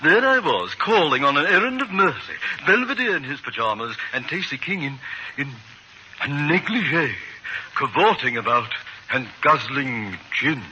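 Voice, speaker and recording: Fussy, high-voiced male busybody. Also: sing-song, moderate pace. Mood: scandalized relish. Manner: sputtering, fussy, scandalized. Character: fussy, prissy, gossiping small-town busybody man. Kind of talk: 1940s radio comedy acting. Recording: slightly noisy old radio broadcast.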